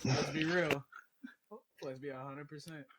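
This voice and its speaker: A podcast panelist speaking in casual chat, quietly picked up and distant. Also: mid-range voice, fairly even intonation, a little slow.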